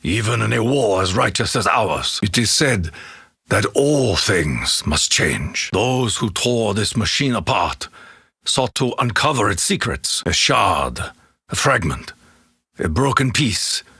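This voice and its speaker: A stoic Japanese warrior for a video game, devoted to honor and his Emperor. The voice is low and gravelly with a husky rasp and a Japanese accent. He speaks gravely and formally, as if reciting proverbs, with wide, dramatic swings in pitch. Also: big pitch swings, takes it slowly, bright, forceful tone, no room sound, clean studio sound, heavily acted. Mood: solemn, reverent. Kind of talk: scripted video game character quote lines.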